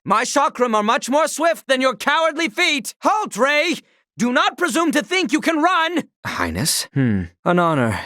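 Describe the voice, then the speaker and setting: A man's voice: sits high, a bit bright, dry recording, studio quality, heavily acted. An actor playing a proud, loyal young male knight in a video game, speaking earnestly in formal, archaic courtly phrasing. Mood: fierce, commanding, then deferential, perplexed.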